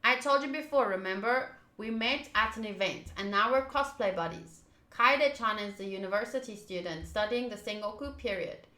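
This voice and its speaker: A woman's voice: medium pitch, normal speed, bright, forceful tone, roomy sound. A woman streaming a visual novel, reading the text aloud and breaking into chatty reactions as she goes. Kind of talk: playthrough commentary, reading on-screen text aloud.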